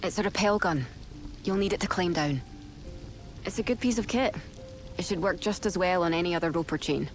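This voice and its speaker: A woman's voice: fairly low pitch, fairly quick, pauses often, faint music behind, natural voice. A woman playing a practical, matter-of-fact female shopkeeper in a fantasy video game. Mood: practical, wistful. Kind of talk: English game cutscene voice acting.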